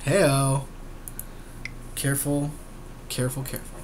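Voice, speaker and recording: A man's voice: mid-range voice, flat delivery, unhurried. A man giving casual, jokey, slangy commentary on a game as a podcast co-host. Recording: noisy amateur recording.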